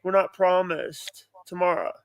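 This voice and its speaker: A man chatting casually as a livestream guest, halting and often repeating his phrases as he goes. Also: high voice. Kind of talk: casual chat.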